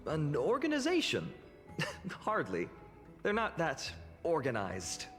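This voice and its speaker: A dashing young man in a video game, light and nostalgic. His pitch moves a lot. A long echo trails his voice, with faint music behind. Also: wildly swooping pitch, takes it slowly, a bit bright, full character voice. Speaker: game character.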